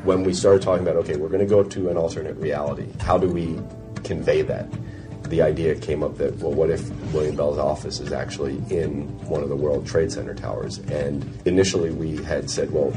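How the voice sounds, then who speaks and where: American male, extremely deep register, explanatory interview commentary, clear music bed underneath. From United States. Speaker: television producer interviewed about a show. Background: background music.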